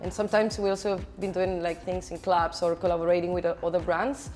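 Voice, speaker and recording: A woman from Chile, raised in Spain, who speaks. She is a DJ and event organizer giving relaxed, chatty interview answers full of "like" and "you know". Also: on the low side, quick pace, soft background music. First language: Spanish.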